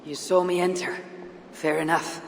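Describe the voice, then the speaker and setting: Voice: medium pitch, flat delivery, moderate pace, a little hiss or hum, natural voice. An actor playing a composed, blunt Viking warrior in a video game, formal and direct in speech. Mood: firm. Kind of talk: dramatic video game acting. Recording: reverberant space, faint ambience.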